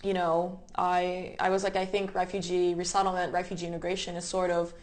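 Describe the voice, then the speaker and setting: A woman's voice: on the low side, completely flat delivery, brisk, a little mellow. A young woman in her twenties from the United States, telling personal stories in a thoughtful, explanatory way as an interview guest. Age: 20s. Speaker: interview guest, refugee resettlement advocate. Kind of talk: reflective personal storytelling in conversation.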